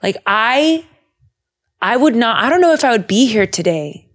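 A young woman hosting a podcast, chatting in a bubbly, animated way and laughing often. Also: fairly high pitch, normal speed. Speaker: podcast host. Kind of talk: casual chat about the Bible.

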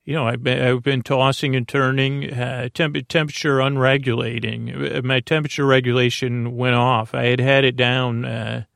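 A man's voice: medium pitch, level tone, normal speed, vocal fry, few pauses, a bit bright, no room sound, clean studio sound. A middle-aged man hosting a sleep podcast, talking in a meandering, hesitant bedtime monologue full of uhs. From Bay Area, California.

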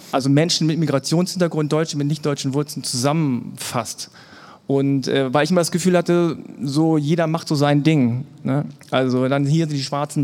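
A man from Germany, a podcast guest, talking casually on a live panel and acting out the voices of people he quotes. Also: a little high. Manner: relaxed, acts out quoted voices of others. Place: live event at an embassy.

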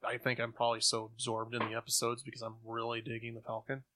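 A man's voice: mid-range voice, monotone, quick pace, background noise. A man co-hosting a podcast, talking casually and informally. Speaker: podcast co-host. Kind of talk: casual discussion.

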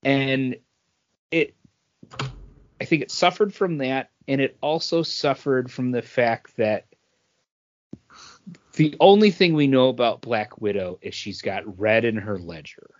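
A man's voice: medium pitch, lively intonation, unhurried, pauses often, no echo. A man co-hosting a podcast, giving his take on films in casual conversation. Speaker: podcast co-host. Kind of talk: casual movie review chat. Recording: dull, lo-fi, dry close sound.

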